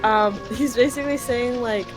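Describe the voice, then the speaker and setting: A woman's voice: fairly high pitch, moderate pace, music in the background. A young woman from Canada, talking casually and swearing freely as she translates game text aloud for a friend over a call. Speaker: live translator for a friend's playthrough.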